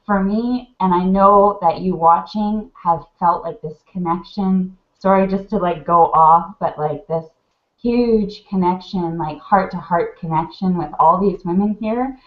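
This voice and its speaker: A woman's voice: on the low side, unhurried. A woman on a video call gives heartfelt advice, rambling and full of fillers, a little sniffly from crying. The audio is muffled webcam sound in a roomy space. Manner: gushing, rambling, many "like" fillers. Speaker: mentor on a live video hangout. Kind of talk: heartfelt casual advice chat.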